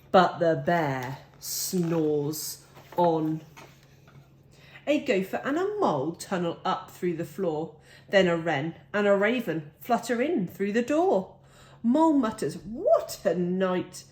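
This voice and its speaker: A woman reads a children's storybook aloud at a very slow pace. Her phrasing is drawn out and expressive, with dramatic weight on the rhymes. Her pitch sits in a middle range for a woman. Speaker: storybook reader. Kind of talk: expressive children's picture-book read-aloud.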